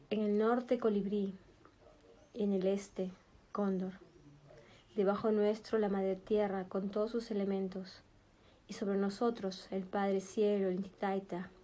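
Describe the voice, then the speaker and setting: A woman leading a guided meditation in a soothing, gentle manner, speaking in short, spaced phrases. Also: mid-range voice. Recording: background music with flute. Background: meditation music with flute.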